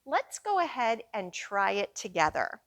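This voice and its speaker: A woman hosting a children's storytime, reading aloud with deliberate, expressive, sing-song phrasing. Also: medium pitch. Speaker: children's storytime host reading picture books.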